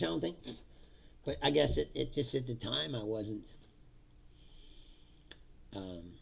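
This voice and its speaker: A man's voice: mid-range voice, gravelly, a bit bright, husky, muffled recording. A middle-aged man from Indiana chatting casually on a livestream, laughing often and slipping into mock voices.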